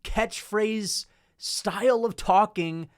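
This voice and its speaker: A young man giving casual commentary on fighters in an animated, opinionated rant, swearing freely and tossing in rhetorical asides. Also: high-pitched, takes it slowly, clean tone. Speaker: MMA commentary YouTuber.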